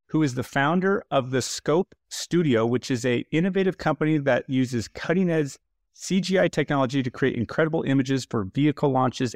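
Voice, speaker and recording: A man hosting a marketing podcast, talking in a relaxed, chatty way with many fillers and restarts. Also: mid-range voice, no echo, studio quality. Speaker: podcast host. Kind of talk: casual interview chat.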